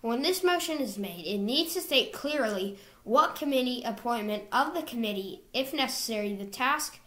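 Woman narrator, scripted slide voice-over, even and measured, a touch high. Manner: even, measured reading of rules.

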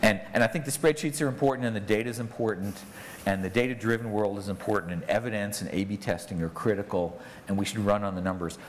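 A man's voice: on the low side, fast, slight background noise. Man giving a talk in a big hall. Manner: conversational, often tags lines with "right?". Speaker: conference speaker. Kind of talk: conference talk with audience Q&A. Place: large conference hall on stage. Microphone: lapel mic. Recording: long reverb of a large hall.

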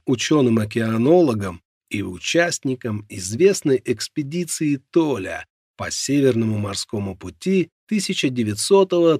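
A man with a gravelly, husky voice narrating a nonfiction audiobook in a measured, dramatic storytelling way. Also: medium pitch, a little mellow, dry recording, studio quality.

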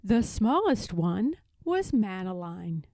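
A woman reading a children's picture book aloud in a deliberate, expressive storytelling manner. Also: medium pitch, dry recording, studio quality. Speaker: storybook reader.

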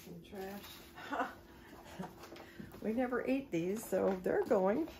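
A woman's voice: medium pitch, extremely slow. Middle-aged woman muttering while she tidies. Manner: relaxed, muttering to herself while sorting. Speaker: vlogger. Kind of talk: casual narration while decluttering. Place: cluttered dining room indoors. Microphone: camera across the room. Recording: echoey room with background noise.

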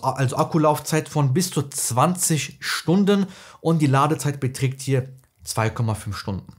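A man's voice: fairly high pitch, slightly rough. A man in his thirties hosting a product review, explaining things in a casual, colloquial way. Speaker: tech reviewer, video host.

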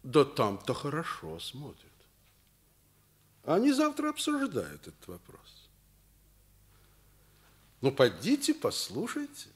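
Директора школы, живая выразительная интонация. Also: male voice, mid-range voice, huge pitch swings, slow pace, long pauses. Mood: calm, persuasive. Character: fatherly, earnest middle-aged male school director. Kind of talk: Soviet radio play acting.

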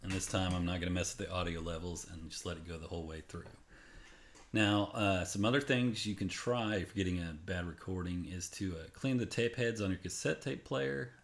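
A man's voice: deep voice, a little fast. A man presenting a casual, unscripted how-to as a voice-over, explaining step by step in an informal way. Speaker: tutorial presenter. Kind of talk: casual screen-recorded how-to narration. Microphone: voice-over on a screen capture.

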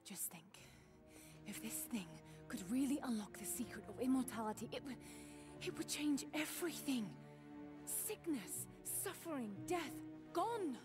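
High-voiced, driven young adventurer. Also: female voice, lively intonation, unhurried, music in the background. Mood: pleading, driven. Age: young adult. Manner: earnest, intense, urgent. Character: young woman adventurer, urgent, driven, defiant. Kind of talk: cinematic video game acting. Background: game score.

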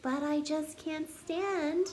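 Slow-paced, higher-voiced woman reading to children. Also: slight background noise. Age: 30s. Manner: slow, deliberate, animated teacher delivery addressing children. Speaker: first grade teacher hosting a children's story time. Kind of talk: children's read-aloud, expressive and sing-song. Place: living room at home, indoors.

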